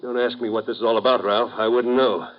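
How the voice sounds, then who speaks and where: An actor playing a sardonic hungover playboy. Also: male voice, mid-range voice, level tone, fast, natural voice. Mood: weary. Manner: sardonic, weary. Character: hungover, rich American playboy, middle-aged man. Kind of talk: 1950s radio drama acting. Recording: dull old radio broadcast.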